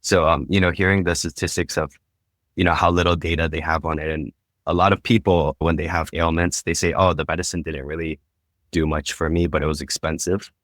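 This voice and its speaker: Man, very deep voice, hesitant, lots of um and you know, dry. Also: fairly even intonation, a little fast, fairly bright tone, dry recording. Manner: hesitant, many fillers. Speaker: audience member asking question.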